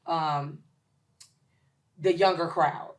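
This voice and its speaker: A woman in her late forties, a chef and caterer talking as a guest in a casual podcast interview, emphatic and lively, with many ums. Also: low voice, very slow. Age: 40s. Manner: lively, emphatic, with shout-outs and filler ums.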